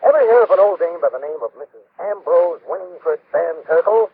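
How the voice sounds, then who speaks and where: A man's voice: a little high, a little fast, husky. An American actor playing a chatty, insistent insurance man over a telephone filter in an old radio drama. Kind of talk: 1960s radio drama acting. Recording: tinny phone-like sound, old radio.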